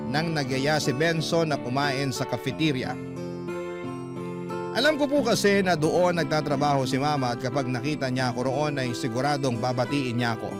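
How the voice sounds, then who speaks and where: A man reads a listener's confession in an earnest, heartfelt tone, his voice a bit higher than most men's. Loud guitar music plays beneath him throughout. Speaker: letter reader. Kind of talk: dramatic reading of a listener's letter.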